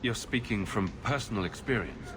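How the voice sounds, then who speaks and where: A man's voice: fairly low pitch, moderate pace, lightly acted. A man playing a wry, confident adventurer hero in a video game, with a dry, lightly teasing delivery. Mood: curious. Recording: clearly noisy game audio background.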